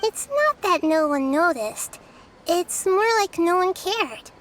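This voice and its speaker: A woman playing a small, wise, gentle child-like deity in a video game, speaking in a measured, thoughtful way. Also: very high-pitched, lively intonation, a little hiss or hum, heavily acted. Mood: grave, earnest. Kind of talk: scripted video game voice acting.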